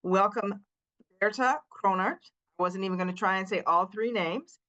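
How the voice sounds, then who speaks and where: A woman hosting an event, reading a prepared introduction for the next speaker in a measured way. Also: medium pitch, bright, forceful tone, lo-fi sound. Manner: measured, reads a prepared bio.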